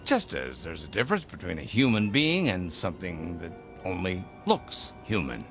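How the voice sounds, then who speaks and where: An actor playing an eccentric older gentleman, a secretive mentor with a grandiloquent, fatherly manner in a theatrical radio drama. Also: deep voice, huge pitch swings, moderate pace, faint music behind, exaggerated voice. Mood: genial, knowing. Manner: grandiloquent, avuncular, emphatic. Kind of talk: theatrical audio drama acting.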